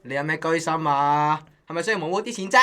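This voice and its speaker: A man's voice: fairly high pitch, fast, fairly bright tone, clean tone, close to an everyday voice. A man with a Hong Kong Cantonese accent playing a blunt, resentful young office assistant in a radio drama. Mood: suspicious, defiant. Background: faint music.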